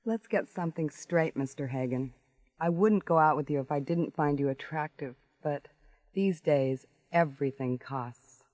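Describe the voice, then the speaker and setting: A woman's voice: sits low, moderate pace, darkish tone, no room sound, close to an everyday voice. A woman playing a frank, worldly, businesslike American woman in a naturalistic radio drama. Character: frank, worldly woman who charges for company.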